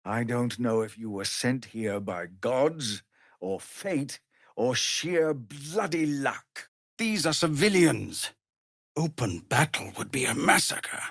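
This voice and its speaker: A noble, worn-down male leader of refugees for a video game. His voice is husky and grave, gravelly when strained, and recorded very dry. He speaks in a measured, formal way with an expressive, widely moving pitch. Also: no room sound, heavily acted. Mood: solemn, frank. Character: weary, honourable male leader of embattled refugees. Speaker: video game character actor. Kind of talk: dramatic game dialogue.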